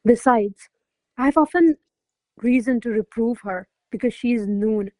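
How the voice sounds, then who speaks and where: Haughty high-voiced noble lady, acted by a woman. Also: dark tone, no room sound, close to an everyday voice. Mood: probing, disdainful. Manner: formal, imperious, measured. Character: haughty noble lady, jealous, commanding mistress. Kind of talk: stage-style radio play acting of classical verse.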